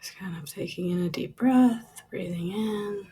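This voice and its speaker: A woman leading a guided relaxation meditation with soft, lingering instructions that trail off into breathy releases, in a husky, creaky voice. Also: medium pitch, a little slow.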